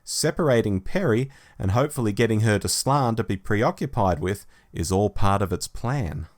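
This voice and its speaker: A man's voice: fairly low pitch, animated, moderate pace, darkish tone. A man hosting a review podcast, reading out a prepared plot summary in a storytelling way. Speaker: podcast host.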